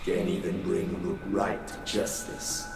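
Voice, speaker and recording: A man's voice: sits low, monotone, gravelly. A man voicing a cruel monster in a visual novel game that mimics a friend, gloating and menacing. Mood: cruel mockery. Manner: slow, menacing, mocking. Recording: echoey, distant, under loud music.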